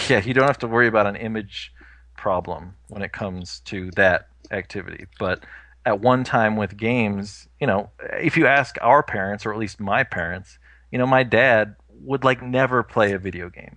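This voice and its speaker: A man's voice: on the low side, moderate pace, a bit bright, dry recording. A man in his thirties in casual podcast chat, talking things through thoughtfully with lots of "you know" fillers. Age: 30s.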